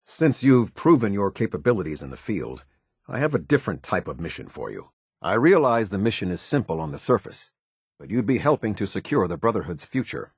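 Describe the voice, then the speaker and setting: An American man playing a stern, formal military officer in a video game, speaking with husky, clipped, measured authority. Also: on the low side, dry recording, clean studio sound, heavily acted. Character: stern, formal male military officer of a post-apocalyptic order. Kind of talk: video game character dialogue.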